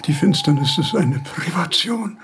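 An actor playing a frail, confused and frightened very old philosopher in a radio drama, halting and repeating himself. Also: male voice, on the high side, lively intonation, background noise, natural voice. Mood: agitated, confused. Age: older. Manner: halting, repetitive, muddled.

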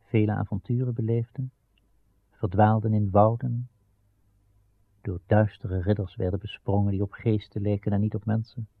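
A man narrating a radio drama as a solemn storyteller, measured and grave with a gravelly voice. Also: lowish voice, flat delivery, dry recording. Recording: tinny, band-limited old radio recording.

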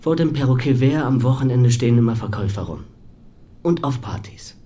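A man's voice: medium pitch, lively intonation, brisk, mellow, close to an everyday voice. A man playing a cocky, defiant young star footballer in a German audio drama, scornful and curt. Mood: sullen, evasive.

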